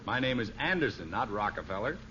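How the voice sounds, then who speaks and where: A man's voice: lowish voice, animated, fairly quick, bright, forceful tone. An actor playing an exasperated middle-aged American father in a 1950s radio sitcom, with dry, sarcastic delivery. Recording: dull, noisy old radio recording.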